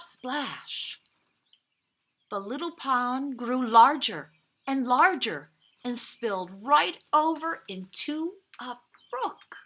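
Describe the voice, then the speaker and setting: A woman reading a picture book aloud to young children, outdoors, with dramatic pauses, emphasized key words and gentle asides to her listeners. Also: sits high. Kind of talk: expressive children's read-aloud.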